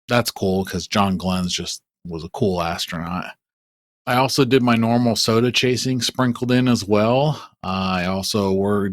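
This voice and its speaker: A man's voice: on the low side, dry recording. A man chatting casually as a panellist on a hobby podcast. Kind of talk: casual chat.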